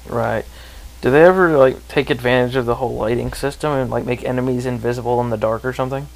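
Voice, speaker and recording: A man's voice: medium pitch, fairly even intonation, fast talker, vocal fry, poor audio. A man chatting casually as the co-commentator on a video game let's play. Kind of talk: casual chat. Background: video game music.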